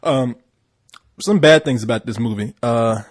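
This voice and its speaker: A man's voice: medium pitch, unhurried, no room sound, clean studio sound. A man hosting a casual movie review chat, joking and laughing often as he steers the talk.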